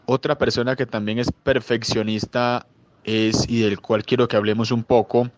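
A man from Colombia hosting an interview, asking measured, formal questions. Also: mid-range voice, little pitch movement, dry recording, dull audio. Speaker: interviewer host.

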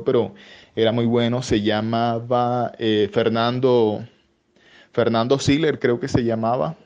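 A man from Colombia answering interview questions about voice work, pausing often with fillers and restarts. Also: medium pitch, darkish tone, lo-fi sound. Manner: hesitant, many fillers and restarts. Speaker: voice-over artist guest.

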